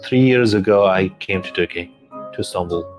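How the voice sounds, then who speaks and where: A man's voice: sits low, moderate pace, soft background music. A man from Syria, a native Arabic speaker, talking hesitantly in an interview about teaching his language. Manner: hesitant, searching for words.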